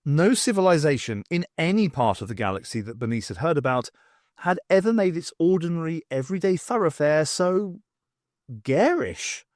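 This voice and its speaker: A man reading a story aloud as an audiobook narrator, in an expressive scripted style. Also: on the high side, normal speed, dry recording, studio quality.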